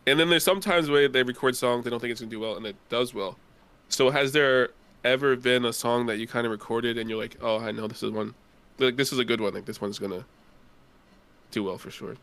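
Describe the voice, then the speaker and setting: A man's voice: medium pitch, brisk, fairly bright tone, smooth. Young man asking rambling questions. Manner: long rambling questions with restarts. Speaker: podcast host. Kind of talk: casual interview questions.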